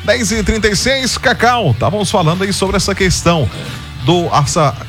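A man's voice: sits high, moderate pace. A man hosting a radio sports show, reading out a phone number and passing questions to a commentator. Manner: lively, moving between segments. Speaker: radio host. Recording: radio broadcast with music behind.

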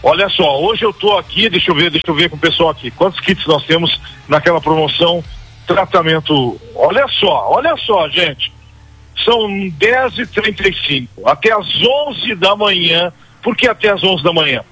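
Husky high-voiced man in his sixties pitching. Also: animated, moderate pace, sharp tone, poor audio. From Goiânia, Brazil. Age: 60s. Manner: pushy salesman, repeating the phone number. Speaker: product pitchman. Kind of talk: live radio sales pitch. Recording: radio broadcast with music behind.

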